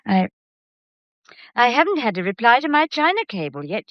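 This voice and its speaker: An actress playing a genteel, fussing gallery employee with a confiding, sympathetic manner in a period mystery drama. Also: female voice, a little high, big pitch swings, normal speed, no echo, highs cut off. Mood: fretful, sympathetic. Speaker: actor.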